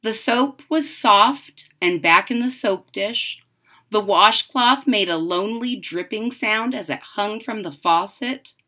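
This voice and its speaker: A woman's voice: mid-range voice, slow, bright, forceful tone. A woman in her thirties or forties reading a children's picture book aloud, with dramatic emphasis and chatty asides. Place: room at home.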